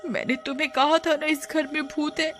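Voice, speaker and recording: Woman voicing an anxious, pleading wife, raised pitch. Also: steady tone, moderate pace, a bit bright, soft background music. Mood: scared. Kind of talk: animated horror story acting.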